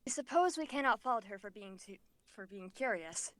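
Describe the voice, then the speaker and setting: A woman's voice: medium pitch, animated, normal speed, fairly bright tone, natural voice. A woman voicing a flustered, proper young character with fussy formal diction in an anime comic dub. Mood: polite.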